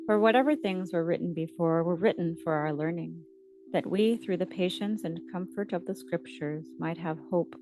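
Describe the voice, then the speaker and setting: A woman narrating a scripted guided meditation with scripture readings, reciting in measured short phrases. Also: on the low side, noisy background.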